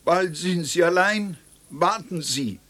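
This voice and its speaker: An actress playing a wary, reluctant woman in a radio drama, speaking in curt, deliberate refusals. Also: lowish voice, a bit bright. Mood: wary, refusing.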